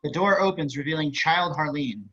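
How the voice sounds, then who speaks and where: A man's voice: a little high, normal speed, sharp tone. A man reading stage and screen directions aloud as scripted narration. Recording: muffled online call audio.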